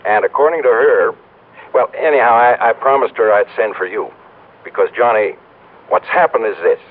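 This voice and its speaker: A man's voice: medium pitch, moderate pace, close to an everyday voice. Actor as earnest, muffled office man. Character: earnest male insurance office man, chatty colleague. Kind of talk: old-time radio drama dialogue. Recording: muffled vintage radio, telephone call.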